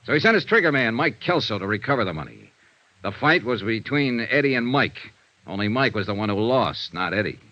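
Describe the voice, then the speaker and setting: A dry, close but dull vintage radio recording carries a shrewd, confident male detective. His delivery is brisk and hard-boiled, with a punchy edge and lively rises and falls. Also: medium pitch, no echo. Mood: confident, reasoning. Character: shrewd, cool-headed male insurance investigator. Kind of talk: 1950s radio detective drama acting.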